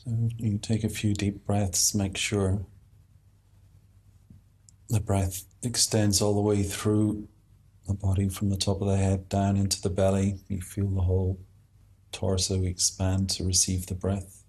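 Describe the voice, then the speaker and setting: Low, husky man guiding meditation. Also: monotone, darkish tone. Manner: unhurried, halting, with long pauses. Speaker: meditation teacher. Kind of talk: guided meditation instruction.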